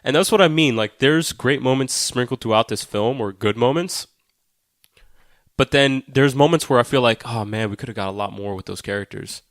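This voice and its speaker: A man's voice: medium pitch, moderate pace, no room sound, clean studio sound. A man co-hosting a film podcast, talking casually with swearing, emphatic and argumentative, often repeating himself to press his point. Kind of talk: casual, profane film discussion.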